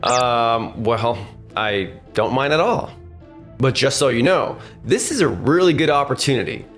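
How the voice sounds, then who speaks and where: A man voicing an overconfident, pushy young real estate salesman in an animated manga dub style. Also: mid-range voice, measured pace. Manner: cocky, persuasive, animated. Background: faint background music.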